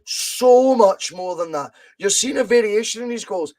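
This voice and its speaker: A man's voice: sits high, expressive, normal speed, darkish tone. A Scottish man hosting a podcast, talking football with passion and repeating points for emphasis. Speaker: podcast host. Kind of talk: casual football chat.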